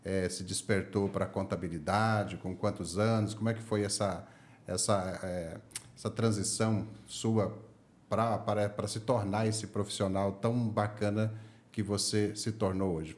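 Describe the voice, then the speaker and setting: Low-voiced Brazilian man hosting a podcast. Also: fairly even intonation, normal speed, a little mellow. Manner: friendly, chatty, laughs easily. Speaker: podcast host. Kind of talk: casual interview chat. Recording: echoey room.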